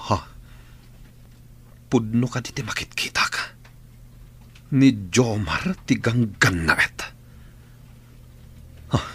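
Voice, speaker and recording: A man's voice: sits low, sharp tone, full character voice. An actor playing a brooding gunman in a radio drama, muttering his secret plans to himself. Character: brooding male gunman, reluctant gang member plotting in secret. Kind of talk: radio drama acting with inner monologue. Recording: old AM radio drama, noisy.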